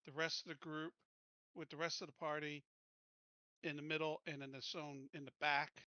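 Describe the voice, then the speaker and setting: A man's voice: a little high, flat delivery, moderate pace, sharp tone, no echo, lo-fi sound. A man talking casually as a player planning moves in an online tabletop game, repeating and insisting on his points.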